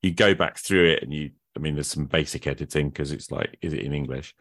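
A man's voice: rumbling low, a little fast, a bit bright, dry recording, studio quality. A man hosting a conversational podcast interview, reflective and meandering as he works his way toward his questions. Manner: reflective, meandering questions with brief listening murmurs. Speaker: podcast host.

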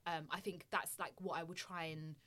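Guest, woman from Somalia; low-pitched, casual, thoughtful, filler-heavy delivery. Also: flat delivery, normal speed, sharp tone. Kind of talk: casual conversation.

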